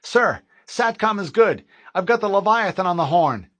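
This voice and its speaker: A man reading an audiobook, voicing an eager young male radio operator who speaks quickly and excitedly. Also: high voice, voice pushed up.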